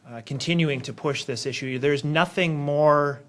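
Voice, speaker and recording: A man's voice: a little high, normal speed, a bit bright. A man speaking as a politician, giving remarks at a press conference.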